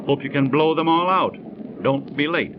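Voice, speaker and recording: A man's voice: fairly high pitch, moderate pace, close to an everyday voice. An actor playing a good-natured, superstitious man of thirty in a 1930s radio drama, on a dull, noisy recording. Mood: affable, rueful. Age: 30s. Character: good-natured, superstitious thirty-year-old card player.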